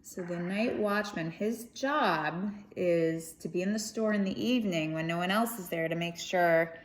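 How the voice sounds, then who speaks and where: A woman reading a children's story aloud expressively, with gentle explanatory asides for young listeners. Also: on the low side, a little slow. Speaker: storybook reader.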